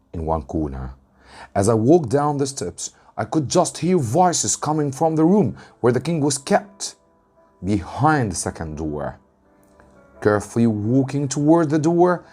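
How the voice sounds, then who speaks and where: A man from Egypt, an English teacher, reading a story aloud with deliberate, dramatic emphasis. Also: medium pitch, dark tone, slightly rough.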